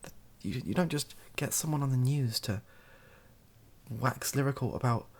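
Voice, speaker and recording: A British man speaking softly in a rambling, hesitant, improvised opinion monologue for an online video. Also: medium pitch, moderate pace. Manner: soft-spoken, hesitant and rambling.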